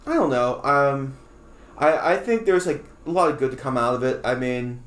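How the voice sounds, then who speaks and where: Podcast dude rambling on, lots of "like", kinda echoey room. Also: male voice, medium pitch, animated, moderate pace, fairly bright tone. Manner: rambling, many restarts and "like"s. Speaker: podcast co-host. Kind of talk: casual chat.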